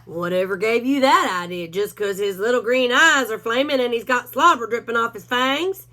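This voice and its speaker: A woman's voice: fairly high pitch, normal speed, pushed and bright. A woman voicing a self-important male cowdog who talks in a dry, wry, drawling way.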